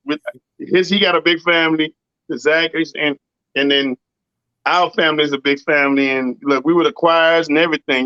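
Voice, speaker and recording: A man with a rough, raspy voice, a singer and producer talking casually in an interview and often saying "you know what I'm saying". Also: on the high side, bright, forceful tone, no room sound, dull audio. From Southern US.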